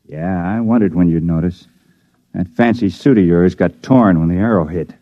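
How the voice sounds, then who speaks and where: An actor playing a tough, blunt camp guard, gruff but earnest, in a 1950s radio drama. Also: male voice, sits low, moderate pace, dry recording. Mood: gruff, dry. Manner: gruff, blunt, plain-spoken. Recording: dry, dull old radio recording.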